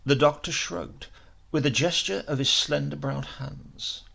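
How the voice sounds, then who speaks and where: A man's voice: fairly high pitch, fairly even intonation, measured pace, gravelly, a bit bright, husky. A man narrating a horror story as a dramatic audiobook reading.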